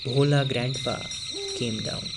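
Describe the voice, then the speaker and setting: A man reading a story aloud, slow and deliberate, sinking lower for the tense moments. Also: fairly even intonation, noisy background. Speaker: story reader. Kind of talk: storybook read-aloud narration.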